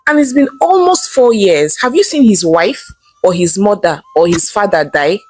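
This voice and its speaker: An anxious Nigerian husband, as a woman storyteller plays him in a folktale. He pleads and persuades, stretching his words, with pitch swinging up and down. Faint music sits behind. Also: lively intonation, darkish tone, breathy edge. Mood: persuasive, insistent. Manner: pleading, drawn-out exclamations.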